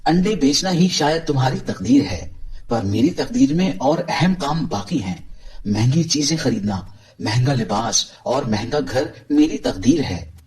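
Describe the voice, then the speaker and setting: A man playing a greedy, discontented village milkman in an animated moral story, boastful, scheming and dismissive. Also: mid-range voice, a little slow, close to an everyday voice.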